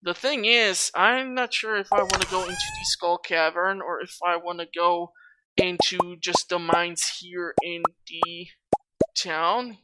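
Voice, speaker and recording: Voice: medium pitch, very animated, measured pace, low-quality recording. A gameplay host giving casual let's play commentary, rambling and thinking aloud. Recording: loud background noise, clicks.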